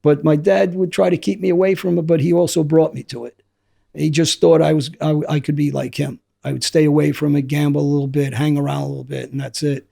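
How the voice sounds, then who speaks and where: Middle-aged New man, hoarse and gravelly, dark and husky, rambling candid storyteller. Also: fairly high pitch, flat delivery, fairly quick. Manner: rambling, repeats words and restarts often. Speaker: interview guest.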